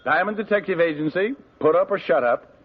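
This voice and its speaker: A man's voice: a little high, expressive, a little fast, lightly acted. An actor playing a breezy, sardonic male private detective in a 1950s radio drama, tossing off wisecracks. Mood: wry. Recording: dull old radio broadcast.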